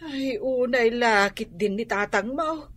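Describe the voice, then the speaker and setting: A woman's voice: fairly high pitch, slow pace, a bit bright. An actress playing a concerned, exclamatory village woman in a radio drama. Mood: worried, reproachful.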